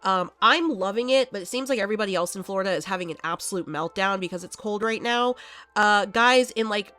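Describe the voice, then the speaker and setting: A young woman hosting a casual horror movie vlog, chatty and quick to laugh, sounding a bit congested from allergies. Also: medium pitch, bright, forceful tone. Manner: chatty, laughs often, many fillers.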